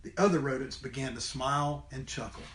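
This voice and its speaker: A man's voice: medium pitch, a little fast, a bit bright. A man reading a children's story aloud expressively, like a teacher to young listeners. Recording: roomy indoor echo.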